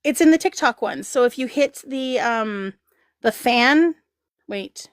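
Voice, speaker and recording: Chatty woman, livestream host, slightly high voice, giggly, rambling, dry close mic. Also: expressive, takes it slowly, clean tone, no echo. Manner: giggly, rambling, reads chat aloud. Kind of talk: casual livestream chat.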